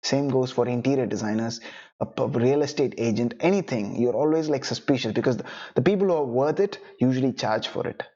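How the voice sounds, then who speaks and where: A man's voice: mid-range voice, a little fast, warm tone, lo-fi sound. A young man whose first language is Tamil, coaching freelancers in a casual online lesson and often adding "right?" and "you know". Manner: conversational, many "right?" and "you know" tag questions. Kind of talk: online lesson, talking to camera.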